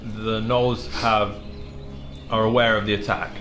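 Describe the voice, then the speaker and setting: A man with a low voice plays a tabletop game in casual chat. He thinks aloud as he talks. There is clear noisy ambience around him. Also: flat delivery, measured pace, a bit bright.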